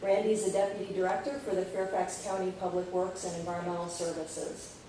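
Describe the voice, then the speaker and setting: Mellow-voiced woman introducing a speaker. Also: lowish voice, level tone, a little hiss or hum. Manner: measured, formal introduction. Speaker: forum moderator. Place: university lecture room. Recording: very echoey room, voice far from mic.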